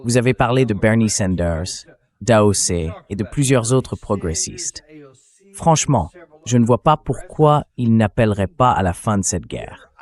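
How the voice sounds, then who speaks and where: A man with a lowish voice hosts a political interview. It is a synthetic translated voice-over. The phrasing is conversational and questioning. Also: a little mellow. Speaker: interview show host.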